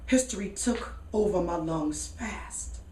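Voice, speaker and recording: A woman from Kentucky with an American accent delivers a theatrical spoken word monologue in dramatic, deliberate phrases with pauses. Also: on the low side, darkish tone, slight background noise. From Kentucky, United States. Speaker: solo stage performer and spoken word poet. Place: radio studio live performance. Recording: roomy echo, distant from mic.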